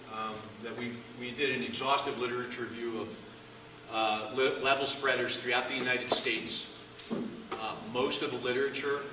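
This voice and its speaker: A man speaking as the invited expert at a public meeting, hedging and restarting as he answers questions from the audience. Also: medium pitch. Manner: hedging, restarts mid-sentence. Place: meeting hall. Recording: echoey hall, distant mic, noisy.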